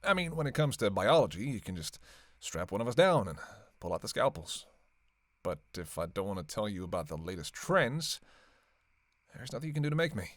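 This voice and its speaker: A man's voice: on the low side, big pitch swings, brisk, dry recording. A man playing a flirty, sardonic vampire in a roleplay audio drama, with casual, teasing, profanity-laced banter. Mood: wry, explaining. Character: flirty, sardonic male vampire on a road trip.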